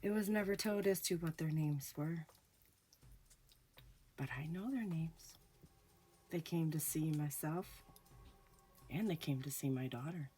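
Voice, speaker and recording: A woman hosting a ghost story show, telling a personal haunting story slowly with hushed pauses, her voice a little off from a recent illness. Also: sits low, husky. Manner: slow, hushed, dramatic pauses. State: recovering from illness, voice sounds off. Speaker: storyteller host. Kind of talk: spoken ghost story narration.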